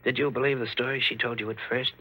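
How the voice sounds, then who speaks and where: A man's voice: mid-range voice, little pitch movement, quick pace, pushed and bright, natural voice. An actor playing a shaken, bitter man questioning intensely in a 1940s radio drama. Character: shaken, bitter man who survived a murder attempt. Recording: noisy vintage 1940s radio recording.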